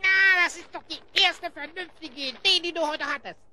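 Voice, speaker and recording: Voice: very high-pitched, normal speed, background noise. An actor playing a small, cheeky, always hungry elf goblin who grumbles in a children's radio play.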